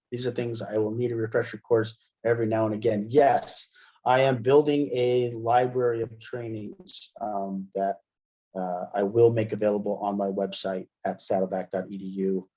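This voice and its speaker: A middle-aged American man giving a casual, step-by-step software demonstration as a webinar trainer, speaking into a headset. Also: lowish voice, steady tone, moderate pace, highs cut off. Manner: explanatory, step-by-step. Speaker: assistive technology trainer.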